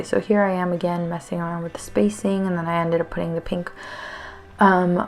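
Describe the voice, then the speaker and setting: A woman's voice: on the low side, creaky. A woman in her thirties, a teacher, rambling casually with many fillers in a voice-over for her planner video, her voice a little worn. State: slightly losing her voice.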